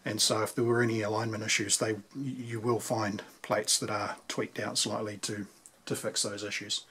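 A man's voice: lowish voice, little pitch movement, normal speed, bright, forceful tone. A man with a New Zealand accent giving a casual, conversational explanation at a workbench as a radio repairer, thinking aloud. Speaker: vintage radio repairer presenting. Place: indoors at a workbench. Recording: clear background noise with clicks.

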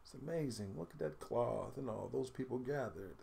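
A middle-aged man reading a picture book aloud in an animated, dramatic storytelling style, pausing to speak to listeners. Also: medium pitch, vocal fry, a little hiss or hum. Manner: expressive, dramatic swells and hushed asides to listeners. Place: indoor home studio room.

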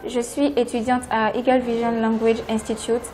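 A woman's voice: on the high side, little pitch movement, moderate pace, dark tone. A woman in her twenties with a Beninese accent, introducing herself as a language student in a classroom interview. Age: 20s. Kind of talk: self-introduction testimonial. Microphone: lapel. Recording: noisy classroom.

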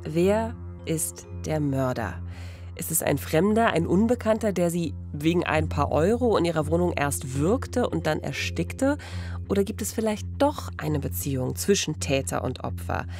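A woman's voice: fairly low pitch, gravelly, darkish tone. A woman in her thirties co-hosting a conversational true-crime podcast on a close studio mic. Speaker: podcast co-host. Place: small radio studio. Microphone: close studio mic on boom arm.

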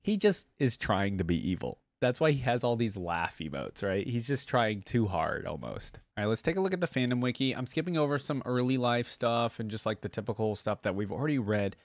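A man's voice: medium pitch, sing-song, fairly quick, few pauses, no room sound, studio quality. A young adult man giving casual reaction commentary, chatty and informal, thinking aloud as he hosts. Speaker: reaction video host. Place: indoor room at a desk. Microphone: close desk microphone on boom arm.

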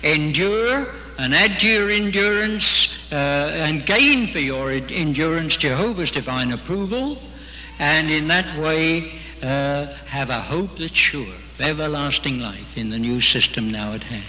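Bright-voiced man preaching in a hall. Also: fairly high pitch, animated, measured pace, hardly any pauses, a little hiss or hum. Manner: earnest, exhorting. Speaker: speaker at a religious convention. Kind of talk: sermon. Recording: dull archival recording in a big hall.

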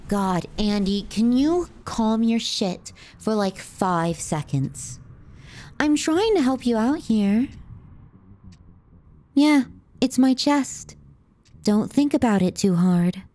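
A woman playing a teasing, callous, clingy younger sister in an intimate ASMR roleplay, sardonic and often hushed. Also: fairly high pitch, animated, slow, long pauses. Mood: bossy, exasperated. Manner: sardonic, needling, dropping into hushed murmurs.

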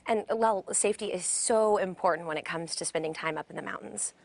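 A woman's voice: on the low side, a little fast, creaky, no echo. A woman in her thirties hosting a morning television show, chatting upbeat with guests on a studio set. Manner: chatty, upbeat, some restarts. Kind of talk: live studio interview.

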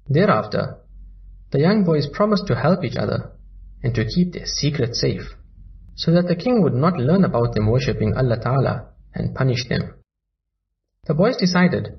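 A man narrating a children's story in a slow, lively storybook manner. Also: mid-range voice, dull audio.

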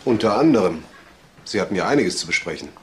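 An actor playing a dry, probing police detective with pointed delivery in a German crime radio drama. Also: male voice, on the low side, animated, fast, slight background noise, close to an everyday voice.

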